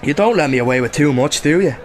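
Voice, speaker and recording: A male actor plays a grumbling pub companion in a radio play. His voice sits a little high for a man. He teases and needles in loose, casual banter. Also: animated, quick pace, some chatter behind, close to an everyday voice. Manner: grumbling, teasing. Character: gruff, needling Irish pub drinking companion.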